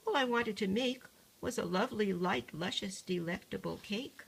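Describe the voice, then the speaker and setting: Woman reading as a genteel, flustered duchess, creaky voice. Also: mid-range voice, moderate pace. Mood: apologetic, flustered. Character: polite, flustered, chatty duchess in a rhyming picture book.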